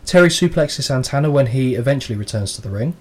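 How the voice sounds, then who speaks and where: A man's voice: medium pitch, expressive, fast, darkish tone, slightly rough. Man, dry podcast banter. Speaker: podcast co-host. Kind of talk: casual match recap and banter.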